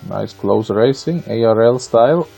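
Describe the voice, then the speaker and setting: A man's voice: mid-range voice, animated, moderate pace, vocal fry, warm tone, slightly rough. A man from Austria with an Austrian accent and German as his native language, giving conversational, wry live racing commentary with playful asides. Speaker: sim racing league commentator.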